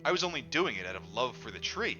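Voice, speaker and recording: A man's voice: medium pitch, very animated, fast talker, natural voice. A man voicing an earnest, insistent devotee seeking his god in a storybook tale. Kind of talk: acted audiobook dialogue. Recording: dull lo-fi audio, music underneath.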